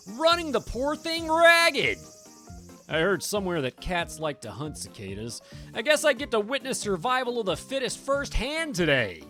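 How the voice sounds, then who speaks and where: Lively, high-pitched woman narrating a game. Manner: expressive, storytelling. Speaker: streamer. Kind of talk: dramatic read-aloud narration of a visual novel on a livestream. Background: game music.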